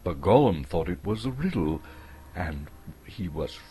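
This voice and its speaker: A man's voice: deep voice, very animated, a little slow, mellow, slight background noise. A man from Canada giving a dramatic storybook narration as the narrator.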